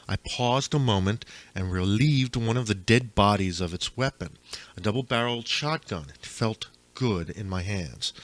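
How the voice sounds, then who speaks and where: A man's voice: medium pitch, a bit bright, a little hiss or hum. A man reading his own stories as a dramatic first-person audiobook narration, with a theatrical manner, on a homemade recording.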